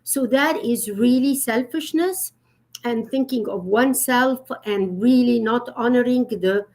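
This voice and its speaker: A woman with a somewhat high voice explains etiquette in an earnest, explanatory way. She pauses often with fillers as she thinks aloud. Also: a little mellow. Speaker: etiquette guest expert. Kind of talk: conversational live-stream talk.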